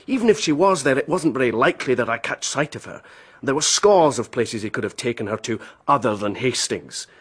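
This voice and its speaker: Radio drama: jealous young Englishman, quiet, troubled, halting delivery, slightly rough voice. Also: medium pitch.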